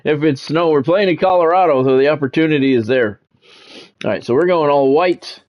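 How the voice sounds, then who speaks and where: A man's voice: a little high, brisk, dull audio. A man giving casual live video game commentary with sportscast touches, talking conversationally and thinking aloud. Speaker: video game streamer and play-by-play announcer.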